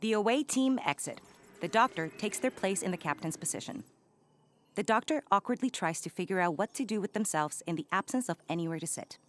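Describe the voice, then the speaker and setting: A woman's voice: medium pitch, expressive, a little fast, close to an everyday voice. A woman acting as the matter-of-fact narrator, reading stage directions in a scripted comedy play.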